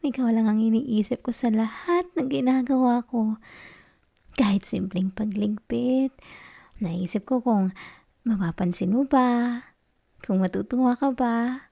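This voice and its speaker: A woman acts out an affectionate, sulky Filipino wife in an intimate ASMR roleplay. Her voice is fairly high, soft and mellow. She takes her time, coaxing and teasing with a tender pout. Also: slow pace, vocal fry, long pauses, heavily acted. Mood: wistful, longing. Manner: soft, coaxing, pouty, slipping into whispers.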